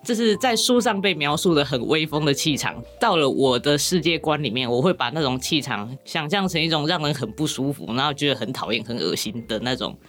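A woman's voice: deep voice, animated, brisk, hardly any pauses, fairly bright tone. A woman with a Taiwanese Mandarin accent explaining things casually as a podcast guest, with wry, rambling humour.

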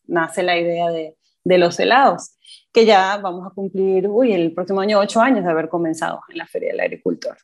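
Enthusiastic Venezuelan woman guest. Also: mid-range voice. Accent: Venezuelan Spanish. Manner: warm, enthusiastic, with frequent hesitations. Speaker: podcast guest, ice cream entrepreneur. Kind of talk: casual interview chat.

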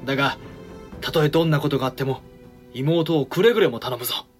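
A male actor playing a grave, wary samurai regent in a historical audio drama, with measured, formal period speech. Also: medium pitch, faint music behind, lightly acted. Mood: solemn.

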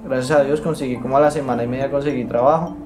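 A man from Bogotá with a Colombian accent, telling his story haltingly with many pauses, fillers and restarts. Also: mid-range voice, steady tone, over music. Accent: Bogotá Colombian Spanish. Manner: hesitant, many fillers and restarts, soft-spoken. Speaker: interviewee, migrant. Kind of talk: halting personal account.

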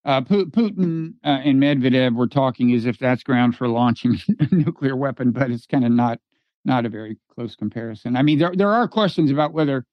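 Older male co-host, nasal and a touch whiny, deadpan teaser, on muffled remote audio. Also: mid-range voice, fairly quick, no echo. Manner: dry, teasing banter. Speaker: podcast co-host. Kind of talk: casual political chat.

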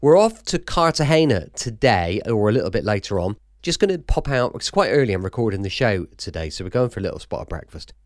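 Lively man hosting, dry close sound. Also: fairly low pitch, no room sound. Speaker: radio show host. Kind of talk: casual chatty show introduction.

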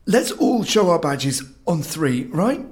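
An actor playing a wary, practical intelligence agent with a British accent and a terse manner in an audio drama. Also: male voice, on the high side, sing-song, takes it slowly. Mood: affronted.

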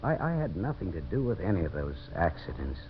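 Tough, earnest radio-drama hero, widely swinging pitch. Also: male voice, sing-song, a little fast. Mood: hushed, earnest. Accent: American. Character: tough, determined man turned earnest champion of settlers. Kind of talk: 1950s American radio drama acting. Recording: muffled, hissy old radio broadcast.

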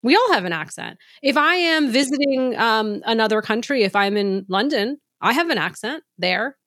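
A woman's voice: on the high side, animated, normal speed, fairly bright tone, no room sound, studio quality. A woman from the United States co-hosting a podcast, speaking in an earnest, reflective way. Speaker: podcast co-host. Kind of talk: conversational podcast discussion.

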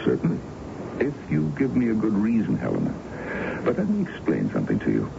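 An actor playing a suave, confident businessman in a 1970s American radio drama, with a smooth, persuasive manner. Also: fairly low pitch, wildly swooping pitch, a little fast, exaggerated voice. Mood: assured, persuasive. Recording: dull, noisy 1970s radio broadcast.

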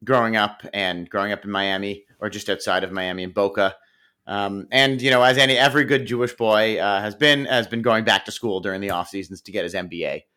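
A man's voice: fairly low pitch, brisk, pushed and bright, smooth. A man co-hosting a podcast, chatting about football in an easygoing, joking way with many fillers. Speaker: podcast co-host. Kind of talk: casual sports chat.

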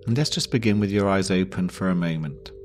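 A man with a low voice leads a guided deep rest meditation. He speaks slowly and gently, leaving long pauses between short phrases. Meditation music with singing bowls plays behind him. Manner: slow, pausing, gentle instruction. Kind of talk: guided yoga nidra meditation.

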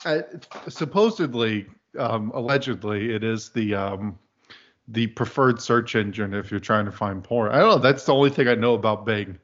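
A man's voice: lowish voice, moderate pace, fairly bright tone. Man chatting on slightly muffled audio. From US Deep South. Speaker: podcast guest. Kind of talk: casual chat. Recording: dull lo-fi podcast audio.

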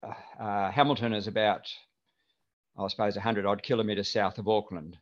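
Older kiwi guy, mild accent, low voice, rambling with lots of ums, kinda muffled lo-fi sound. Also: normal speed. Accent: mild New Zealand. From New Zealand. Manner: relaxed, rambling with many fillers. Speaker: guest. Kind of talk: casual interview chat. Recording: dull lo-fi remote audio.

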